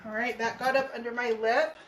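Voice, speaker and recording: A hoarse woman talks viewers through a painting demo in a casual, chatty way, her voice sitting a bit high. She sounds set back from the mic in a roomy space. A faint hum of room noise sits underneath. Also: fairly even intonation, brisk, far from the mic. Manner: chatty, laughs easily. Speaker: furniture painting host. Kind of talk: live painting tutorial.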